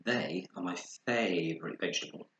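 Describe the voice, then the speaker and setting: A man voicing an excitable, curious young bear in a children's storybook, exclaiming with childlike wonder. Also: low voice, normal speed, echoey room, muffled recording, a little hiss or hum, voice pushed down. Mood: pleased, curious.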